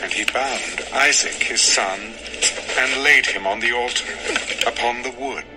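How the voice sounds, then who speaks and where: A man narrating a dramatized scripture text in a solemn, measured, formal style. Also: mid-range voice, rough recording. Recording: music under the voice.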